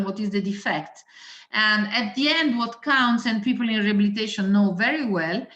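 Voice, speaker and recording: A woman's voice: medium pitch, a bit bright. A woman doctor, a native Italian speaker with an Italian accent, giving a methodical webinar lecture that lists points with frequent hesitations. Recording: roomy, dull lo-fi audio.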